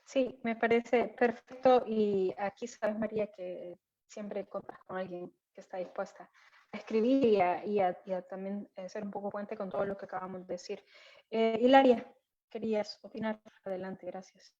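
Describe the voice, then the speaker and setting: A woman's voice: medium pitch, fairly even intonation, moderate pace, warm tone. A woman moderates an online panel, courteous and a little hesitant as she passes the floor to others. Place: online video call. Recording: dull lo-fi online call audio.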